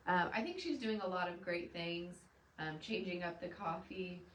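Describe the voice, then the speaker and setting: A soft-voiced woman with an Oklahoma accent and a scratchy throat talks with upbeat enthusiasm on a local news show. Her pitch is on the low side, and she sounds a little off-mic, with roomy echo and a faint hum. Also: expressive, normal speed, far from the mic. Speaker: city representative co-host. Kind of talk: casual live news chat.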